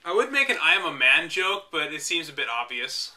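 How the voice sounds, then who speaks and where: A young man reads an outdated game guide aloud, cutting in with dry, mocking remarks. His voice sits high and sounds very bright and sharp. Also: big pitch swings, a little fast. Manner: mocking, dry asides between read passages. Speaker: host reading a book aloud. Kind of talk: reading aloud with sarcastic commentary.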